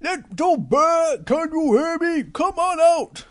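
A woman's voice: mid-range voice, animated, slow pace, a little mellow, no echo. A woman voicing a big, protective grown-up male bear in an improvised children's story, fussy and full of warnings. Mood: alarmed.

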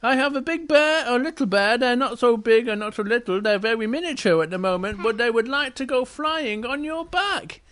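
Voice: medium pitch, normal speed, hardly any pauses, a bit bright, voice pushed up, natural voice. An adult storyteller voicing a gracious, prim fairytale princess with dainty, polite speech. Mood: polite, commanding.

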